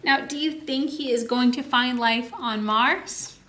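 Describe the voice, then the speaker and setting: A woman hosting story time as a children's librarian, teacherly and animated, explaining a picture book to young children with questions. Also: a little high, measured pace, a little hiss or hum. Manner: animated, teacherly, encouraging participation.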